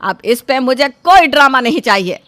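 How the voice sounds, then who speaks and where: A woman's voice: high voice, lively intonation, normal speed, fairly bright tone. A woman playing a stern, fed-up mother-in-law in a household story, scolding with sarcasm and command. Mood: exasperated, commanding. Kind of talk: animated Hindi moral story dubbing.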